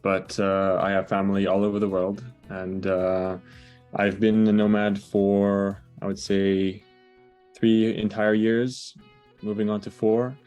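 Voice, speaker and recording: A man's voice: lowish voice, level tone, slow, darkish tone, faint music behind. A young man talking casually as an interview guest over a video call, hesitant with many fillers and restarts. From born in the US, raised in France. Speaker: guest, digital nomad.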